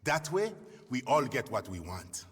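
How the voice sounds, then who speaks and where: An actor playing a shrewd rebel ally in a video game, speaking in a measured, persuasive way. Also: male voice, medium pitch, big pitch swings, breathy edge. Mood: urgent, persuasive. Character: shrewd, calm male rebel ally offering a bargain. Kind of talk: video game cutscene acting. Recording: long echoing reverb.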